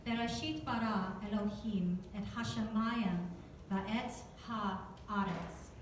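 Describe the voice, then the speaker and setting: A woman's voice: mid-range voice, slow pace, far from the mic. A woman with a Southern US accent giving a chatty, joking devotional talk to a church group and asking them questions. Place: church hall, echoey. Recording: echoey hall recorded from afar, noisy.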